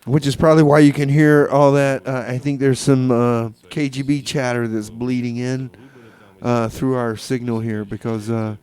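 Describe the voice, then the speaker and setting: A man hosting a comedic community radio show between songs, talking in a halting, repetitive, mock-earnest way. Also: medium pitch, level tone, darkish tone, smooth. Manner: rambling, halting, repeats himself.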